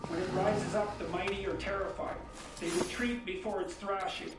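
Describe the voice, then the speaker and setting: A man's voice: high-pitched, fairly even intonation, moderate pace, over music. A man reading scripture aloud as part of a lecture, in a declamatory, emphatic way. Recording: very echoey, distant, very noisy playback.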